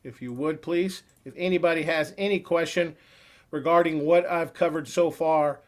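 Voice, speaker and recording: A man in his forties giving an upbeat, salesy screen-share walkthrough as a software trainer on a computer call. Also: a little high, fairly even intonation, moderate pace.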